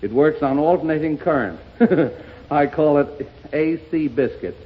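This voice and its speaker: A blustering boastful fat man. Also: on the high side. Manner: blustering, quick punchlines. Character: boastful out-of-shape man, bragging and self-deprecating. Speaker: comic guest. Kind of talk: 1930s radio comedy sketch. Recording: muffled old radio broadcast with studio audience. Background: studio audience laughter.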